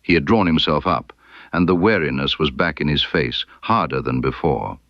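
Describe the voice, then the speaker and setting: A man narrating a scripted literary mystery story in a measured, deliberate storytelling manner. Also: a bass voice, no room sound, muffled recording. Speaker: audiobook narrator.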